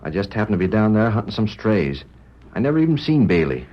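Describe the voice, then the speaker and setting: An actor playing a hot-headed, vengeful jailed man in a 1930s radio drama, stubborn and rough-spoken. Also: fairly low pitch, big pitch swings, fast talker. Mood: defiant. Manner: stubborn, rough western drawl. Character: hot-headed vengeful young male prisoner. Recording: muffled old radio recording.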